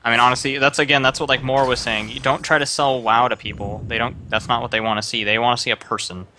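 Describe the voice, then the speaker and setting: A man's voice: mid-range voice, little pitch movement, fast, sharp tone, slight background noise. A young man streaming live, casually giving another streamer rambling advice with lots of fillers. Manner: rambling, many fillers and restarts, advising.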